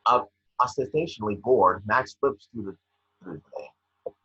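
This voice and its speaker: A man's voice: lowish voice, a little slow, pushed and bright, husky, lo-fi sound. A man reading screenplay scene directions aloud as narrator, stumbling over words now and then.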